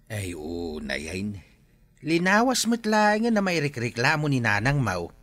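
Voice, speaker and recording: Exasperated older father, swooping pitch. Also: huge pitch swings, takes it slowly, fairly bright tone, dry recording, exaggerated voice. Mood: disapproving. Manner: chiding, weary. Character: exasperated older farming father, scolding but reasonable. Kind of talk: radio drama acting. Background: music.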